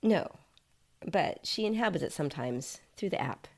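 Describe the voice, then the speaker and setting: Casual tech inventor in a body-swap audio story, female reader, low and gravelly, explaining matter-of-factly. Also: expressive, moderate pace, darkish tone. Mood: casual, chatty. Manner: nonchalant, chatty, explanatory. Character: nonchalant male app developer inhabiting another's body.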